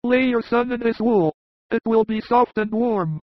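A man's voice: extremely high pitch, unhurried, dry recording, faint music behind, voice pushed up. A man voicing a bold, eager young shepherd in a raised, strained voice. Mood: earnest offering. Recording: dull lo-fi recording.

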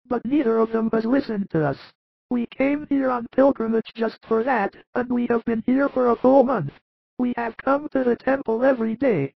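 A man voicing a humble, despairing older farmer in a Bible story, pleading sorrowfully in a strained, creaky voice. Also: extremely high pitch, dry recording, highs cut off, voice pushed up, heavily acted.

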